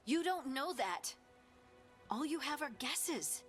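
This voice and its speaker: A woman's voice: sits high, expressive, takes it slowly, fairly bright tone, exaggerated voice. A woman playing a blunt, troubled companion to a hero in a video game. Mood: regretful, defensive. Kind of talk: video game dramatic acting. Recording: game music under the voice.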